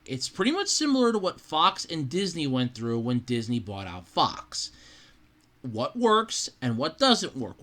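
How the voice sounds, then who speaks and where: A male podcast host with a bright, hard-edged voice at an average pitch. He comments on news casually and bluntly, talking as if to a listener and voicing imagined exchanges as he goes.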